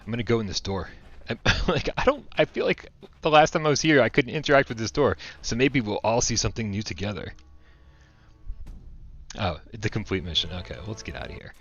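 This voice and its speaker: A man's voice: on the low side, expressive, a little fast, fairly bright tone, muffled recording, soft background music. A man casually commenting on a VR shooter during a livestream, chatty and upbeat, laughing often and full of fillers. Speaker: VR game streamer.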